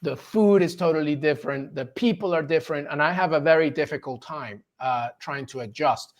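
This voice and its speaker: A man in his forties from Peru, speaking as an interview guest. His voice sits a touch higher than most men's. He tells his story in a lively, enthusiastic way and laughs easily. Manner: animated and enthusiastic, quick to laugh. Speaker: interview guest, sports journalist. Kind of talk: casual storytelling in a conversation.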